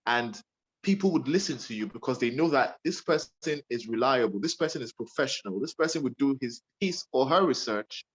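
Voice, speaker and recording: A man's voice: medium pitch, expressive, brisk, slightly rough, dull audio. A young man with a Nigerian accent, a radio presenter giving earnest, emphatic advice to a young interviewer and often repeating himself.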